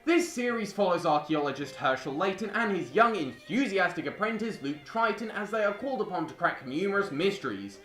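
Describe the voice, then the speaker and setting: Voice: mid-range voice, big pitch swings, brisk, hardly any pauses, fairly bright tone. A young adult reading a scripted video essay as a film reviewer, with music behind. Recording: roomy voice-over with music behind.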